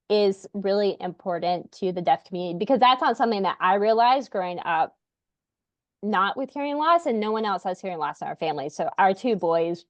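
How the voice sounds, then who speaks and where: A woman's voice: mid-range voice, dry recording. Earnest rambling woman, dull audio. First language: English. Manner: earnest, rambling, many "like" fillers. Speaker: podcast host, parent. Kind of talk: casual podcast conversation.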